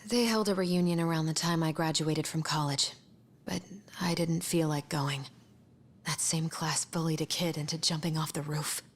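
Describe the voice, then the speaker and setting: An actress playing a reserved, evasive schoolteacher in an English-dubbed game drama, terse and guarded with a soft, breathy voice. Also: female voice, deep voice, fairly even intonation, moderate pace.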